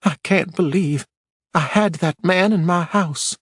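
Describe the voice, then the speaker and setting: Guy doing a high snippy gossipy widow lady, bossy and indignant, storybook style. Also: normal speed, gravelly, no echo, studio quality. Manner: shrill, imperious, snappish.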